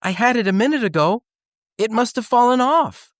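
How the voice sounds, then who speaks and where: A man's voice: extremely high pitch, animated, normal speed, dry recording, studio quality, voice pushed up. A man voicing an earnest, slightly sheepish young boy in a children's audiobook, with a light boyish delivery. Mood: defensive. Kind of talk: dramatised children's audiobook reading.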